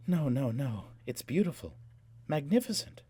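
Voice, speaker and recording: A haughty young noblewoman as a male narrator plays her speaks softly and huskily, lifted above the narrator's low register. She is rapturous and fearless, drawing out her words with theatrical, mocking languor in an audiobook drama. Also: sing-song, normal speed, no room sound. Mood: mocking, amused. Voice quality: soft, husky.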